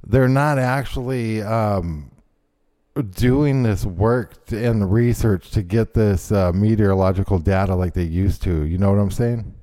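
Mellow low-voiced podcast host man. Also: a little slow, no echo. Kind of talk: casual podcast chat.

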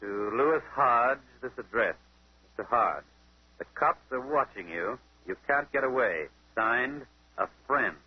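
A man's voice: medium pitch, expressive, measured pace, slightly rough, dry recording. An American man playing a keen, articulate detective who reasons aloud, in 1930s radio acting. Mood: grave. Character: keen, deductive male detective, inspector's grown son. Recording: dull old 1930s radio recording.

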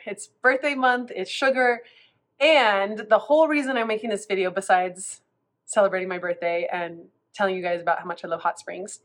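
Woman in her 30s, kinda stuffy nose from a cold, chatty and rambly, talks to camera. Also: mid-range voice. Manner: chatty, rambling with many restarts. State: congested from a cold. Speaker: food coach. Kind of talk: casual vlog talk.

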